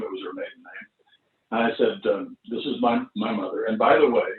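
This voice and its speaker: A man in his seventies or older telling personal stories casually, in an unhurried, halting, anecdotal way, over roomy, distant, thin internet audio. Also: on the low side, narrow, tinny audio. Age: 70s or older. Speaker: veteran performer telling stories. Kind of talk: casual reminiscing at an online launch party.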